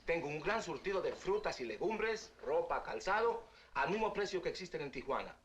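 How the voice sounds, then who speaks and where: Eager shopkeeper, highish lively voice, old film sound with faint noise. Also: male voice, fast talker. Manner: animated sales patter. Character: eager Mexican male shopkeeper hawking his wares. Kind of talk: 1950s film acting.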